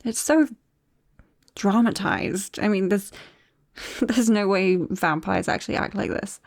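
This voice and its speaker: A young British woman acting in an intimate ASMR roleplay as a nervous, affectionate friend with a secret, soft-spoken and hesitant, close to the microphone. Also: medium pitch, slow pace, vocal fry, no echo. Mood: playfully teasing. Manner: hesitant, soft-spoken, breaking into whispers and small nervous laughs. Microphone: close-up microphone, dry.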